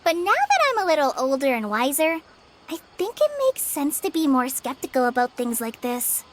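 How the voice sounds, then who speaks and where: A woman's voice: high-pitched, sing-song, moderate pace, a little hiss or hum. A woman voicing an earnest, shy teenage girl forest ranger in a video game, speaking politely and a little hesitantly. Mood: earnest, unsure. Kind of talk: video game cutscene acting.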